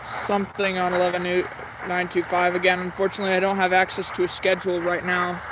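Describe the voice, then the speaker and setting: A man chatting casually on a live radio-tuning stream in a halting, low-key, hesitant way. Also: monotone, noisy background.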